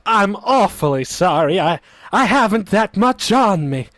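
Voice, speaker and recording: A man plays a gloomy undertaker who speaks in a slow, mournful deadpan. His voice sits high and moves at an unhurried pace. The sound is dry and close, like old-time radio comedy. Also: no room sound, heavily acted. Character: gloomy punning male undertaker, soft-spoken. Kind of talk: vintage radio comedy acting.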